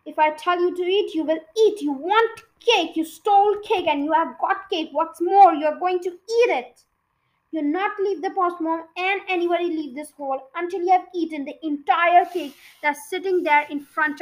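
Bossy screaming headmistress as a lady reading aloud puts her on, high and loud, barking orders. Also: steady tone, brisk, few pauses, rough recording. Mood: bullying. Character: bullying, furious female headmistress, big and harsh.

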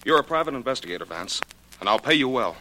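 An actor playing a desperate, pleading husband in a 1940s radio drama, urgent and stammering. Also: male voice, medium pitch, big pitch swings, fast talker, bright, forceful tone. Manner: urgent, stammering, repeating himself. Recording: noisy 1940s radio recording.

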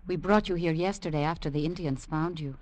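An actress playing a gentle, earnest young servant woman, speaking softly and quietly pleading in a 1950s radio drama. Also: sits low, a little fast, lightly acted, poor audio.

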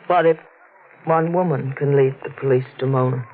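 A woman acting an intense, troubled, secretive young woman in a 1940s radio melodrama, with deliberate, dramatic phrasing. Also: extremely low pitch. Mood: grave confession. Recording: faintly noisy, narrow-band old radio recording.

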